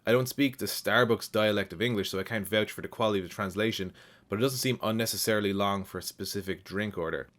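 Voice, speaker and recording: Low-voiced Irish man, casual and explanatory. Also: fast talker, fairly bright tone. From Ireland. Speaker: language channel host. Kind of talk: casual reading and answering of viewer comments.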